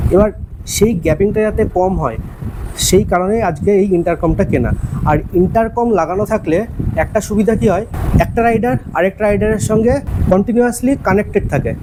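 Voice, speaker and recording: A man's voice: high-pitched, noisy background. A man with a West Bengal Bengali accent giving a casual unboxing review, explaining things directly to viewers. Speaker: vlogger and product reviewer.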